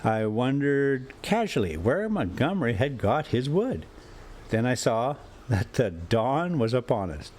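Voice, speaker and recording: A man reading a classic adventure story aloud in deliberate, theatrical phrases broken into short clauses. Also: medium pitch, very animated, darkish tone. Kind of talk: dramatic audiobook-style narration of an adventure story. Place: inside a moving motorhome.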